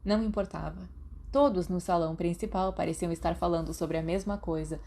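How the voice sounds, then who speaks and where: A woman with a Brazilian Portuguese accent narrating a fan fiction audiobook in a steady reading. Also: on the low side, brisk. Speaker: podfic narrator.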